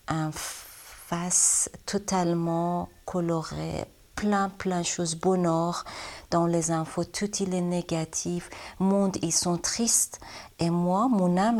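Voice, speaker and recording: Slow low-voiced Iranian woman painter. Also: a little mellow. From Iran. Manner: halting non-native French, searching for words. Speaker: watercolor painter. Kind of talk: interview answers.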